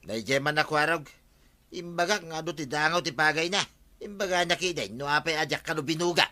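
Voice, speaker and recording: A grumbling, meddling uncle in an Ilocano radio comedy. His voice is slightly high, very sharp and forceful as he chides. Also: fairly quick. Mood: aggrieved.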